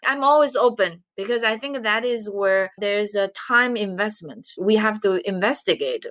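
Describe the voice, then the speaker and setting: A woman from China who speaks English, giving measured, earnest answers as an interview guest. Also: medium pitch, fairly bright tone, no echo, clean studio sound. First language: Chinese. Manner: measured, earnest explaining with light laughs. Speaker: guest, investment researcher.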